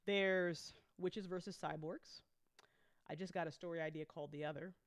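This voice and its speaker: A woman host chatting casually and unscripted to her listeners, candid and excitable, with emphatic repetition, slang and plenty of swearing. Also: fairly low pitch, smooth, no room sound, studio quality. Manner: excitable, emphatic with repeated phrases. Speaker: host and audio drama writer-producer.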